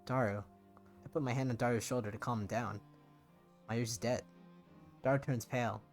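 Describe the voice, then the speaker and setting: A guilt-ridden young man performed by a male reader narrates his visual novel story in a mid-pitched voice. He is somber, deliberate and despairing, with faint music behind him. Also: fairly even intonation, close to an everyday voice. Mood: grim, numb.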